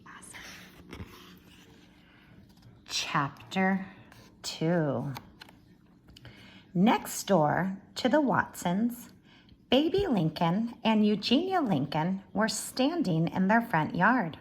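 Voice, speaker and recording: Slow, animated woman reading to children. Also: medium pitch. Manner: expressive, addressing young listeners. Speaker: teacher reading aloud to children. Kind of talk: animated storybook read-aloud with asides.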